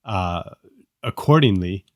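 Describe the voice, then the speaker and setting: A man from Southern California co-hosting a casual review, explaining in a measured, agreeable way with small pauses. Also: deep voice, expressive, a little mellow, dry recording, studio quality. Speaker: co-host of a review show. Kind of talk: casual discussion with explanatory commentary.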